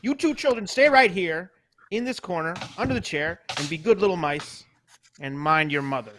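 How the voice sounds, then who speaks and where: A man's voice: high-pitched, normal speed, background noise, lightly acted. A man putting on a bossy mother mouse who scolds her children in a live old-time radio play reading. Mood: scolding. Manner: loud, scolding and stern.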